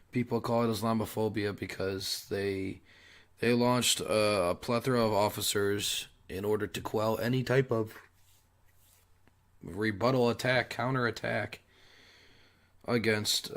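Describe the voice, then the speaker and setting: A young adult man hosting a solo commentary show while sick and losing his voice, clearing his throat often and pausing on fillers as he restarts his sentences. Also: fairly low pitch, a bit bright. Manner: halting, restarts mid-sentence. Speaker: podcast host.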